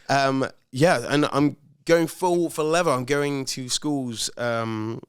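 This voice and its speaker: A man's voice: mid-range voice, clear, resonant tone, no room sound. Teasing man, dry studio sound. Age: 40s. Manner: teasing, quick banter. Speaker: podcast co-host. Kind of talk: casual chat.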